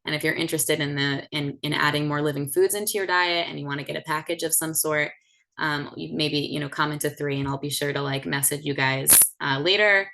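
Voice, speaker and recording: A woman's voice: deep voice, fairly even intonation, fairly quick, gravelly, bright, forceful tone. A woman in her thirties hosting a class on a video call, chatty and full of fillers. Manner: chatty, with many fillers and restarts. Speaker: host of the class. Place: home setting indoors.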